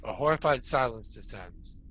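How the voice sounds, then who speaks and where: A man's voice: medium pitch, expressive, normal speed, a bit bright, low-quality recording. A man giving scripted narration, reading stage directions as narrator in an amateur table read.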